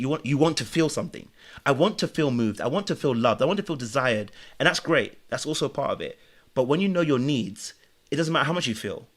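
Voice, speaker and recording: A man's voice: mid-range voice, a bit bright. A man giving earnest, preachy relationship advice as a guest on a podcast chat. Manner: earnest, preachy, speaks in lessons.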